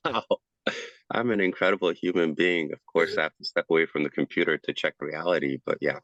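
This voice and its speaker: Deep-voiced man giving a chatty presentation. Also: a little fast, a bit bright, dry recording, dull audio. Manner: loose and chatty, with many fillers and restarts. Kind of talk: casual conference presentation.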